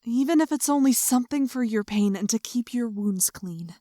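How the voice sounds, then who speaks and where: A woman as a young fantasy princess with a fairly high voice and a close, dry sound. She is earnest, caring and steadfast, in audio drama style. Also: animated, moderate pace, dark tone, no echo, natural voice. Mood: reassuring. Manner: earnest, reassuring.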